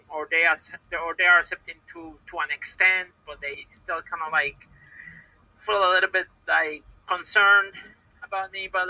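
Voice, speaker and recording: Halting Puerto Rican woman, bright tense voice. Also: low voice, dry recording, poor audio. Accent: Puerto Rican Spanish. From Puerto Rico. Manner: hesitant, halting, many repeated words and fillers. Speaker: professional wrestler, podcast guest. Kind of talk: casual interview answers.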